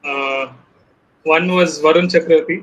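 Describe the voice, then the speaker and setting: A man's voice: a little high, fairly even intonation, normal speed, a bit bright, a little hiss or hum, rough recording. A man chatting casually as a panelist, hesitant and padding his points. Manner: hesitant, pads points with "you know". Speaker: cricket show panelist. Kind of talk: casual chat.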